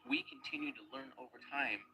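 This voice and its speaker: A man from an underwater search and recovery team, giving a calm, matter-of-fact field report and explaining how the search was done. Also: medium pitch, brisk, low-quality recording. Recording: loud music bed under voice.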